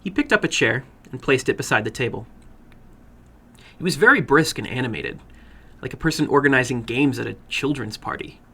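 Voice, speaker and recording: A man narrating a short horror story dramatically, with a theatrical, deliberate delivery. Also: medium pitch, expressive, bright, forceful tone, husky. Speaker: storyteller, horror fiction broadcast host.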